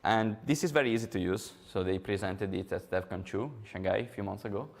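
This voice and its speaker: A young man with an Italian accent gives a conference talk in a low voice. He explains step by step with frequent ums and uhs. A gravelly rasp sits under his words. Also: quick pace. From Italy. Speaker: conference speaker.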